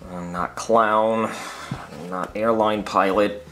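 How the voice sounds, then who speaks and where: A man's voice: lowish voice, fairly even intonation, slow, pushed and bright. A man playing a sleep-deprived, guilt-ridden man of many jobs in a comedy skit, blubbering and making excuses. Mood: sheepish, groggy. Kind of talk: comedy skit character acting.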